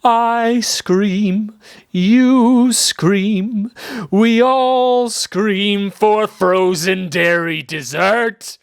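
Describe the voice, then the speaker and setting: A man giving a dramatic reading of a humorous newspaper essay, theatrical and wry, with mock-grave pauses. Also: vocal fry, clean tone. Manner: theatrical, with sweeping pitch swings and drawn-out pauses. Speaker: reader of a newspaper column.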